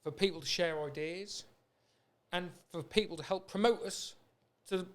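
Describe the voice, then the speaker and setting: A man's voice: sits high, moderate pace. A British man hosting a podcast in a casual, rambling, anecdotal way. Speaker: podcast host. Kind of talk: casual chat.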